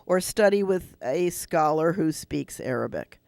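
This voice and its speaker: A man's voice: fairly high pitch, animated, measured pace, creaky, a little mellow, no room sound. A man hosting a radio call-in show as a rabbi, explaining at length and thinking aloud with restarts.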